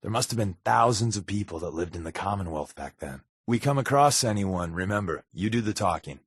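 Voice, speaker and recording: An American man playing a wisecracking, sly undercover agent in a video game, talking in casual quips with a deadpan, sardonic manner. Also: on the low side, a little fast, breathy edge, dry recording, studio quality. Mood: dry, playful. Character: wisecracking, sly adult male undercover agent, habitual fibber. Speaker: companion character in a video game.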